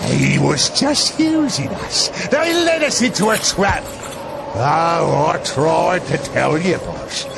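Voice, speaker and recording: An actor voicing an anxious, pleading orc or goblin shaman in a fantasy game, with coarse speech and a gravelly voice. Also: fairly high pitch, expressive, takes it slowly, background noise, full character voice. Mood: urgent, aggrieved. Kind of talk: fantasy game cutscene acting.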